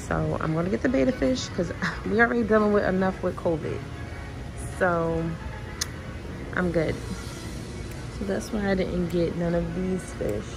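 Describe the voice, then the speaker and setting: A woman's voice: lowish voice, moderate pace, vocal fry, long pauses, low-quality recording. A young woman, a vlogger casually thinking aloud while shopping, recorded on a handheld phone. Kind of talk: casual chat. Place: large store, then home kitchen. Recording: music bed under voice.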